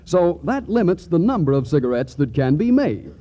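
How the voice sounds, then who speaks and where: A man's voice: a little high, sing-song, normal speed, dark tone. A man working as a commercial announcer, reading a scripted 1940s radio advertisement in an earnest, emphatic way. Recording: muffled 1940s radio recording.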